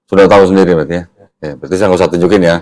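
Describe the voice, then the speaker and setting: A man's voice: deep voice, steady tone, rapid-fire, darkish tone. A man hosting a religious discussion, explaining step by step in a didactic, conversational way and checking that his listener follows. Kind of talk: conversational teaching with leading questions.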